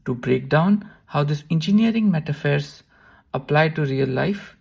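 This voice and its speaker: A man reading a short scripted intro to the show in an even way. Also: fairly high pitch, moderate pace, gravelly, breathy edge. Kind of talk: read intro narration.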